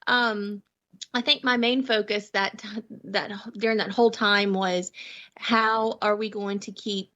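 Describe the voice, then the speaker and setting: A woman's voice: mid-range voice, fairly even intonation, a little slow, bright, forceful tone, highs cut off. A middle-aged woman telling personal anecdotes casually as a guest, with a wry manner and laughter in her talk. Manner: wry, laughing as she talks. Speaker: podcast guest, prepper and mother.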